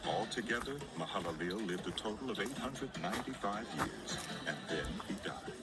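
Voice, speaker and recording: A male narrator reads scripture as solemn drama. His voice is low and moves expressively through the measured storytelling, with prominent music underneath. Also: lively intonation.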